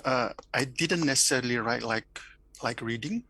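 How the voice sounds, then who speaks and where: A man's voice: mid-range voice, moderate pace, pushed and bright. A middle-aged man from Fiji, speaking English with a Fijian accent, reflecting thoughtfully in an interview with many restarts and repeated words. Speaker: interview guest, academic.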